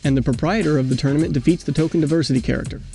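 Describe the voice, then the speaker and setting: A man narrating a scripted comparison in a deadpan manner with formal wording. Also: on the high side, rapid-fire, creaky. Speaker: video narrator. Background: faint background music.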